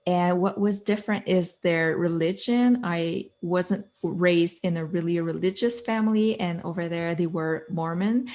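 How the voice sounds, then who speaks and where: A woman's voice: on the low side, fairly even intonation, a little slow, few pauses, darkish tone. Guest, thirties, female, Quebec French accent, earnest storyteller linking ideas with "so" and "like". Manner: earnest, chains thoughts with "so" and "like". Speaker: guest, author and coach. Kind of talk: conversational interview.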